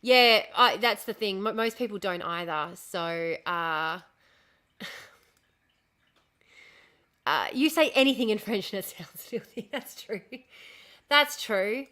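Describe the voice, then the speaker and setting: Bright-voiced woman, slightly hoarse. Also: mid-range voice, lively intonation, slow pace, long pauses. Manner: rambling, swears often, answers chat comments. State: losing her voice from illness. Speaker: livestream host. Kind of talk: casual livestream chat with viewers.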